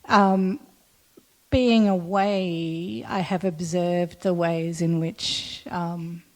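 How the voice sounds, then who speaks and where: Gravelly low Australian woman. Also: steady tone, extremely slow, darkish tone. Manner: thoughtful, hesitant with many ums. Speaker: audience member asking a question.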